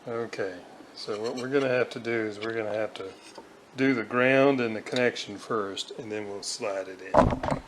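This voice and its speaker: An older man giving an unscripted hands-on workshop demonstration, talking haltingly and pausing mid-sentence. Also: on the low side, fairly even intonation. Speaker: hobbyist restorer demonstrating a repair. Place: indoors at a home kitchen counter. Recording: noisy home video, room ambience.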